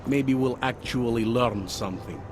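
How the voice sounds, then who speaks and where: An actor voicing a world-weary, pragmatic police detective in a video game, with a wry, insinuating manner. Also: male voice, medium pitch, normal speed, noisy background, natural voice. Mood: confiding, sly. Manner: wry, insinuating, tags questions with "eh" and "huh". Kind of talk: video game cutscene dialogue.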